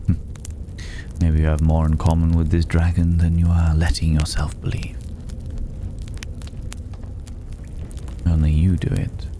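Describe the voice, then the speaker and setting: An extremely deep, unhurried male voice acted out by a man plays a mysterious stranger in a fantasy ASMR roleplay. He is courteous and pensive, with soft, formal, old-fashioned phrasing that edges toward a whisper. Noticeable background noise runs underneath. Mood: probing, calm.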